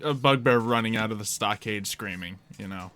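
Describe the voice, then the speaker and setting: A man's voice: fairly low pitch, normal speed, a bit bright. A man hosting a tabletop game stream as game master, chatting casually and jokily. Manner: jokey, laughs often.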